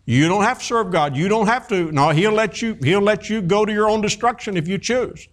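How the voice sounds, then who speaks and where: A man in his fifties preaching a sermon, folksy and animated, telling stories with many fillers and restarts. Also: high voice, fairly quick.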